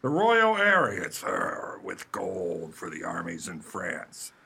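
Man acting a slow, gravelly pirate captain. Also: deep voice, wildly swooping pitch, sharp tone, exaggerated voice. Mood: conspiratorial. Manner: blustery rustic pirate speech. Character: swaggering hook-handed male pirate captain, blustery. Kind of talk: comic radio pirate acting.

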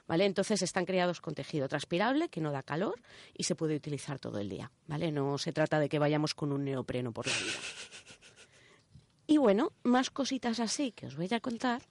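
A woman's voice: low-pitched, big pitch swings, fairly quick, darkish tone. A woman with an accent from Spain presenting a segment in casual radio chat, colloquial and often checking in with short tag questions.

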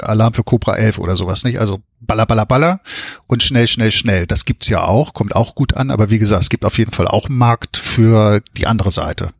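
Male interview guest, husky tone, conversational delivery, dry studio-like sound. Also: on the low side, no echo. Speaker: interview guest, author with screenwriting experience.

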